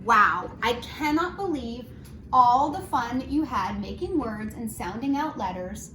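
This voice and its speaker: A woman with a fairly high, very lively voice gives a phonics lesson to young children. She has a sing-song teacher's delivery, sounding words out and putting questions straight to her listeners. The room echoes and there is noticeable noise behind her. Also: unhurried. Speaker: early reading teacher. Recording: roomy echo, clear background noise.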